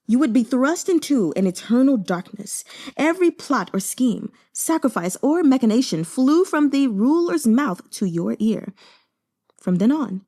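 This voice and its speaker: Female audiobook narrator for dramatic fiction, soft-toned and highly animated. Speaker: audiobook reader.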